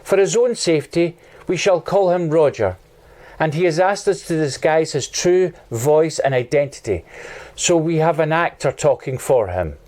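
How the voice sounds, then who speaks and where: A man narrating in a deadpan, newsreader-like manner as host of a comedy show. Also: fairly high pitch, moderate pace. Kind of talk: mock news narration.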